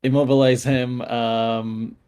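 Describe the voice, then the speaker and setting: A man's voice: mid-range voice, level tone, slow pace, darkish tone, clear, resonant tone. A man in casual game chat as a player, thinking aloud in a hesitant way.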